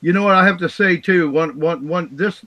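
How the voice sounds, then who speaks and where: A man's voice: high voice, normal speed, no echo, dull audio. Big-voiced enthusiastic man, dry mic. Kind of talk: casual podcast chat. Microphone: own separate mic.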